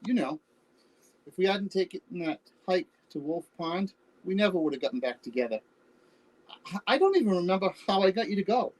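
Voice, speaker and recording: A woman playing a defensive, evasive older husband, a Vietnam veteran, halting and exasperated in a naturalistic play reading. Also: fairly low pitch, big pitch swings, a little mellow, rough recording. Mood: reminiscing. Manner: halting, defensive, repeats words. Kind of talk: virtual staged play reading, naturalistic dialogue.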